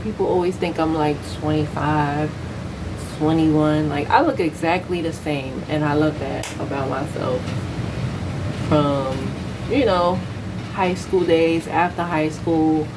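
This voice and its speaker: A woman's voice: low voice, background noise. An American woman in her thirties chatting casually as a vlogger, candid, slangy and opinionated. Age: 30s. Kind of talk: casual chat to camera.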